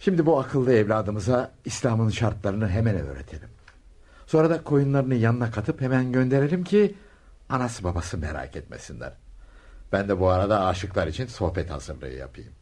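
A man's voice: lowish voice, animated, moderate pace, a little mellow. A male actor playing a kindly, wise Sufi master in a radio play, solemn and fatherly. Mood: fatherly, gentle. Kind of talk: Turkish radio theatre acting.

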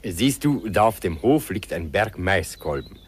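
An actor playing a kindly old country doctor in a radio play, patiently explaining things. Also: male voice, low voice, very animated, a little slow, husky, heavily acted. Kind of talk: 1950s radio play acting.

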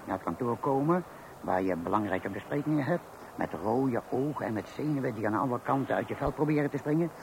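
A man's voice: medium pitch, expressive, a little fast, creaky. An actor playing a worldly man in his fifties in a radio play, lecturing in an emphatic, patronising way. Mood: warning, emphatic. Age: 50s. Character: worldly, lecturing fifty-year-old colleague and father of many. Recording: noisy old radio play recording.

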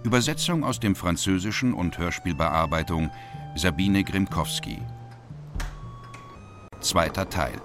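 A man's voice: sits low, lively intonation, unhurried, long pauses. A man formally announcing radio play titles as an announcer. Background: plucked guitar music.

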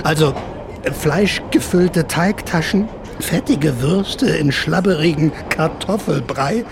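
An older man narrates in a wry, personal way, like a storyteller on a radio feature. His voice sits a little high for a man, and there is clear location noise behind him. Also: slow pace. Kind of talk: personal narration mixed with casual interviewing.

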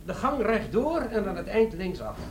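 An actor playing a middle-aged company boss in a comic radio play, formal, dryly polite and condescending. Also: male voice, high voice, big pitch swings, normal speed. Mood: coolly polite. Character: officious, dry, sceptical middle-aged male company boss. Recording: echoey room, faintly noisy radio recording.

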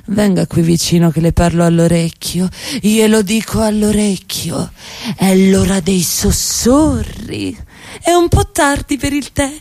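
A woman's voice: fairly high pitch, a little mellow, dry recording. Woman acting insistent, theatrical wife, dry studio sound. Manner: theatrical, insistent, slipping into whispers. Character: middle-class wife, evasive, defiant, then coyly seductive.

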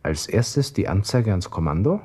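An actor playing a self-pitying, vain young army lieutenant with a Viennese accent, muttering an interior monologue to himself in a radio play, by turns flippant and sentimental. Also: male voice, on the low side, very animated, moderate pace, mellow, breathy edge. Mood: brooding, flippant. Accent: Viennese Austrian. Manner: brooding, talking to himself, shifting between flippant and sentimental.

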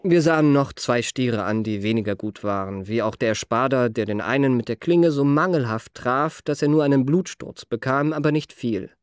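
An actor playing an elegant, eloquent gentleman adventurer telling his memoirs in a refined, ornate manner for a radio drama. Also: medium pitch, clear, resonant tone, no echo, lightly acted. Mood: detached. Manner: ornate, measured, refined phrasing. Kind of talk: literary radio drama narration.

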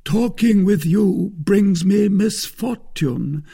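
Audiobook role: lofty, scornful musketeer acted by a male reader, rough-edged, pitched up, with sweeping intonation. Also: animated, slow, warm tone, full character voice. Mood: haughty reproach. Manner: pompous, majestic, scornful. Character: proud, haughty male musketeer, majestically offended.

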